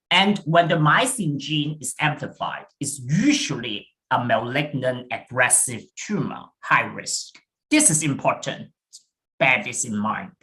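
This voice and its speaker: A British man delivers a prepared research talk at a slow, unhurried pace. His pitch rises and falls a lot as he stresses key points. The measured, deliberate delivery comes through a video-call mic. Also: sharp tone, rough recording. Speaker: invited research speaker.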